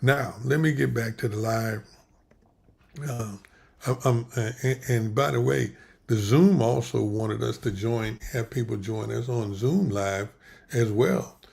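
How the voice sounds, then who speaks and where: A man's voice: mid-range voice, unhurried, gravelly, darkish tone. A man, a pastor, hosting a livestream in a casual, rambling way with many restarts, and he is losing his voice. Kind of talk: casual livestream talk with prayer.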